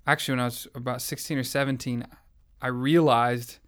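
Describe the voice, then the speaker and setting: An earnest young man recounts his personal testimony in a reflective, conversational way. His voice is smooth and clear. He keeps his pitch fairly flat and even as he speaks. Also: fairly quick. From American South. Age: young adult. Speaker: interviewee sharing his faith story.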